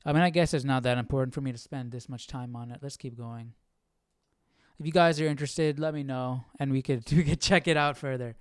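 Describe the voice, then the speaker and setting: A man hosting a casual live Bible study, reading aloud and thinking out loud in an informal, slangy way. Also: medium pitch, steady tone, a little fast, clear, resonant tone, no room sound, clean studio sound.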